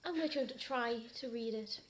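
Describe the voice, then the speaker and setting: A woman's voice: on the high side, moderate pace, noisy background. A British woman co-hosting a comedy reading show, talking casually to camera with mock seriousness.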